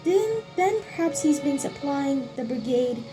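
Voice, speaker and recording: A woman's voice: high-pitched, expressive, unhurried. A woman streaming gameplay, reading dialogue aloud and reacting as she goes. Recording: game music and sounds behind.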